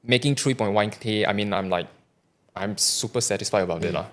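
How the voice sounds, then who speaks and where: A man's voice: on the low side, fairly quick, fairly bright tone. A man in his thirties from Malaysia with a Malaysian English accent. He is a podcast guest in casual conversation, speaking candidly, repeating words and checking in with "right?". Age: 30s.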